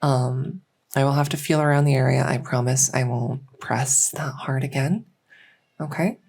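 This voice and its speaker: A woman playing a gentle, reassuring doctor in a soft-spoken medical roleplay, speaking hesitantly with frequent pauses. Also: a bass voice, steady tone, vocal fry, husky, exaggerated voice. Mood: gentle, comforting. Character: gentle, reassuring female doctor in a fantasy transition clinic. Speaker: roleplay audio performer.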